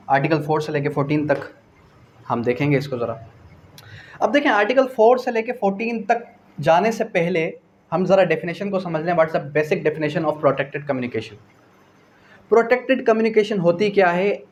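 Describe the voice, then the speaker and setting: A Pakistani man teaches in a lecturer's manner, his voice pitched a little higher than average. He poses questions, answers them himself and repeats key phrases, slipping in English terms. Some noise sits behind his voice. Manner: mixes English legal terms into speech. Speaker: law lecturer. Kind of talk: classroom lecture explaining definitions and articles.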